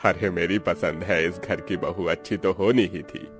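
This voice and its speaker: A man's voice: deep voice, fairly even intonation, unhurried, soft background music. A man voicing a traditional-minded older father-in-law in a Hindi cartoon drama, emphatic and moralizing. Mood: protective, proud.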